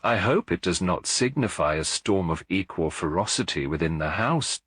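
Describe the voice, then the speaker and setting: A man's voice: low voice, lively intonation, moderate pace, a bit bright, slightly rough, no echo, heavily acted. A man voicing a courteous, observant Belgian detective with formal, courtly manners in a mystery audiobook. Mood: courteous, wry.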